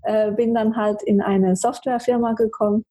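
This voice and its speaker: Woman, podcast guest, from Switzerland, Swiss German native, very soft dark tone, husky, conversational. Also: a little high, little pitch movement, normal speed. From Switzerland, grew up in Zurich. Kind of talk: conversational life-story interview.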